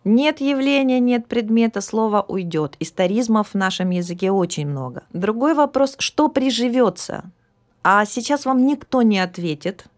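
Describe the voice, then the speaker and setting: A middle-aged woman speaking as a philologist guest in a podcast interview, explaining at an even, measured pace and now and then losing her thread. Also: fairly high pitch. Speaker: philologist guest, department head.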